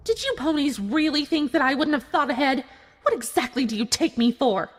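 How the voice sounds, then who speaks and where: Woman voicing a high, smug unicorn villainess in a hall. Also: lively intonation, fairly quick, slight background noise. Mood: bitter. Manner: taunting, mock-polite, snapping. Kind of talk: dramatized fan fiction reading. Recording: long hall reverb, music behind.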